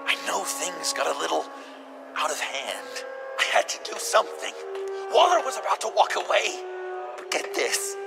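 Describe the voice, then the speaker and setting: An actor voicing an unhinged, theatrical young villain in a video game, volatile and dramatic, lurching between whispers and shouts. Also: male voice, high voice, big pitch swings, normal speed, vocal fry, exaggerated voice. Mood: scheming, pleading. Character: unhinged, theatrical young male villain craving approval and vengeance. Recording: loud music underneath.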